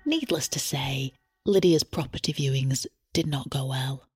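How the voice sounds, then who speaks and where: A woman narrates scripted audio fiction in a notably low voice. Her storytelling is measured and deadpan, with music clearly present behind her.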